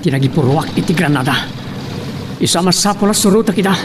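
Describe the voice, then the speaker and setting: Casting: male actor as determined Filipino rider hero, higher-pitched male voice, urgent, very loud engine noise. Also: animated, quick pace. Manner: urgent self-talk. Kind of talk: radio drama monologue in Ilocano.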